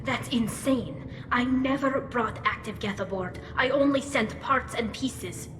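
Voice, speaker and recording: A woman's voice: a little high, a little slow, over music. A woman playing an earnest, anxious young alien engineer in a video game, speaking precisely through a helmet filter. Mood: defensive, upset. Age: young adult. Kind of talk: video game cutscene acting. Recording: echoey hall, noisy ambience behind.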